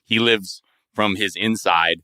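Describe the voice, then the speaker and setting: Low-voiced American man, analytical and wordy. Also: steady tone, slow, bright, forceful tone, dry recording, clean studio sound. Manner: analytical, wordy, swears casually. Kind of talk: casual music discussion.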